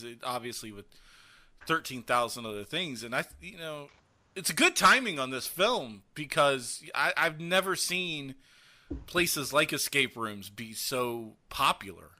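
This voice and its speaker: A man's voice: medium pitch, sharp tone. A man from South Carolina hosting a podcast interview in casual chat, laughing often and hesitating with "uh" and "um.". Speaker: podcast host.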